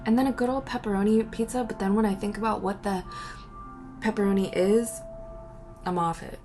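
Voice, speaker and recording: A woman's voice: mid-range voice, steady tone, a little fast, mellow, soft background music. A young woman answering viewer questions in a rambling, casual chat, breaking off mid-sentence and laughing between thoughts.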